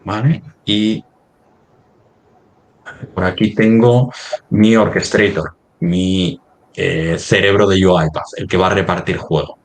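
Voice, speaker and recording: A man with an extremely deep voice presents a live webinar demonstration. He talks haltingly, thinking aloud as he works through each step on screen. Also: creaky. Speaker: software demo presenter.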